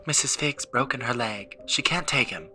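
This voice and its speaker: Storybook mother, played by a man: pitched up, doting yet snappish, music underneath. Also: normal speed, sharp tone. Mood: angry, worried. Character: Aunt Petunia, doting, sharp-tempered suburban mother.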